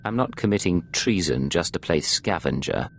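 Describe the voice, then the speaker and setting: An actor playing a cool, defiant intelligence agent in a video game, terse and confrontational. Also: male voice, sits low, animated, normal speed, gravelly, slight background noise. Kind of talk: dramatic video game voice acting.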